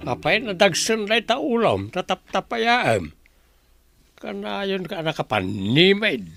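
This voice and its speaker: Grumpy high-voiced old man played by an actor. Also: big pitch swings, takes it slowly, vocal fry, music in the background, exaggerated voice. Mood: bickering. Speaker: actor in an audio drama.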